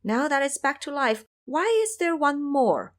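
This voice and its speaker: Young temple acolyte played by a woman, high-pitched, earnest, inquisitive, for fantasy audio drama. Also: animated, unhurried. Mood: puzzled. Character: two puzzled young temple youths.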